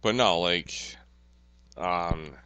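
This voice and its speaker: A man chatting casually as a podcast co-host, in a loose, halting conversational way. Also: low-pitched, pushed and bright, smooth, no echo, dull audio. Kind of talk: casual banter.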